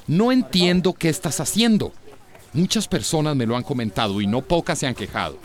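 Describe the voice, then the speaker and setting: A man's voice: on the high side, animated, close to an everyday voice. A man playing an earnest, principled doctor in a radio drama, emphatic and commanding. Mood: indignant, scolding. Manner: emphatic, scolding, brisk. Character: earnest, indignant relief doctor, principled and bossy.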